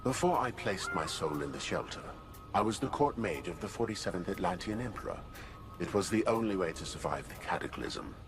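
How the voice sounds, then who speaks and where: An old haughty wizard spirit in a video game, formal n condescending, lowish voice, music under it. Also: male voice, lively intonation, a little fast. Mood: haughty, solemn. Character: ancient haughty male court mage's trapped soul.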